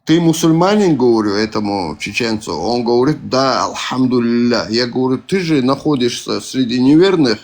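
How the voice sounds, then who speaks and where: This is a man with a Caucasian accent calling in to a live voice chat. He rambles through loose, hesitant speech full of fillers and self-corrections. His mid-pitched voice has a gravelly edge. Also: animated, normal speed, hardly any pauses, a little mellow. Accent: Caucasian-accented Russian. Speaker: caller on a live stream. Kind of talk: casual chat.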